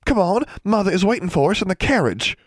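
A man acts out a bubbly older teenage girl in a storybook, pitched well up from his own voice. She gushes with affection and teasing, her tone swooping about in effusive delight. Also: sing-song, normal speed, no echo, studio quality. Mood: excited, gushing. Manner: effusive, cooing, sing-song.

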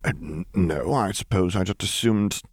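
A man's voice: sits low, normal speed, slightly rough, no room sound, clean studio sound. A man voicing a polite, hesitant older admiral with a formal, flustered manner in a story reading.

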